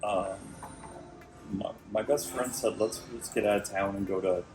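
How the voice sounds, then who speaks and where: A middle-aged man telling his story as an interview guest, slightly raspy, with lots of restarts and fillers. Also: lowish voice, steady tone, moderate pace, darkish tone. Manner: hesitant, many restarts and fillers. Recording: echoey room with music behind.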